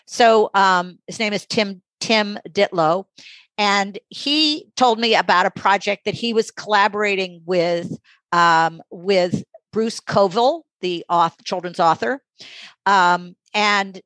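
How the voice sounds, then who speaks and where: A mature woman speaking as an interview guest, thoughtful and conversational, halting and reflective as she pauses mid-sentence. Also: medium pitch, a bit bright, no echo. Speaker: interview guest, audiobook magazine editor.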